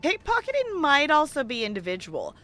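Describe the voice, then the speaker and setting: A woman's voice: high-pitched, huge pitch swings, fairly quick, sharp tone, no echo, soft background music. A woman hosting a game livestream, chatting casually and thinking aloud in a rambling way as she plays. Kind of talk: casual chat while playing an online game.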